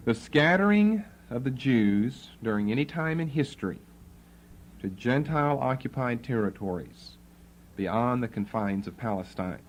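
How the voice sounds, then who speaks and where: A man with a fairly low voice lectures to a class in a room with faint background hum. He explains terms patiently, spelling words out and repeating them. His delivery is that of a classroom teacher. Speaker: Bible teacher. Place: classroom with students writing.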